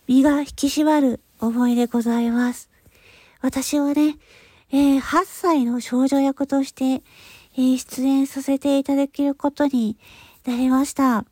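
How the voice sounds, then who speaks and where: A woman's voice: high-pitched, steady tone, slow, warm tone, dry recording. A woman hosting her own audio channel, making a casual but polite announcement to listeners in hesitant phrases. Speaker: channel host and voice actor.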